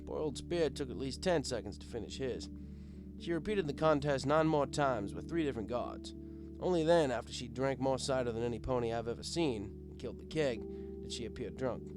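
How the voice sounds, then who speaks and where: A man's voice: medium pitch, lively intonation, a little fast. Wry male storyteller over guitar music. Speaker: story reader, narrating as a bartender. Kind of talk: first-person scripted story narration.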